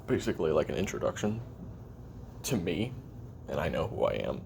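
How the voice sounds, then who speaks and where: A man playing a weary, lonely, bitter assassin recording a halting, confessional audio-drama memoir in a gravelly voice. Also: deep voice, big pitch swings, background noise, heavily acted. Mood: dry, rambling. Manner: halting, brooding, self-deprecating, trailing off. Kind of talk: confessional audio roleplay monologue.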